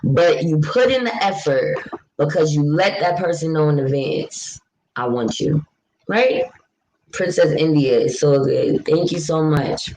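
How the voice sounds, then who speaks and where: A young woman from New York with a deep voice, chatting casually with viewers on a livestream in a frank, talkative way. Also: animated, a little slow, darkish tone, slight background noise, low-quality recording. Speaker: livestream vlogger. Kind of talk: casual live chat, answering viewer comments.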